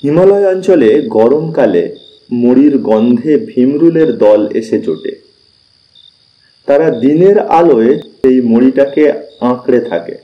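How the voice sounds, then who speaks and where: A man narrating a scripted suspense story in a measured, deliberate storytelling manner, with pauses. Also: mid-range voice, lively intonation, muffled recording. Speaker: audiobook narrator.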